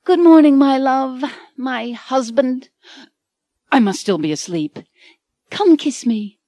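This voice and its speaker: A woman voicing a frightened, adoring young romance heroine, high and pleading. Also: expressive, slow, mellow, dry recording, exaggerated voice. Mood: dreamy.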